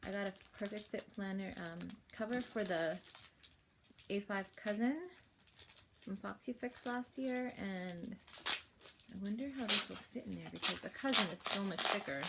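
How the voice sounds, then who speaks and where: A woman with a cold, sniffly, chatting casually through a planner unboxing at home while filming on her phone, rambling with fillers and soft laughs. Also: medium pitch, creaky, slight background noise. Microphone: phone held while filming.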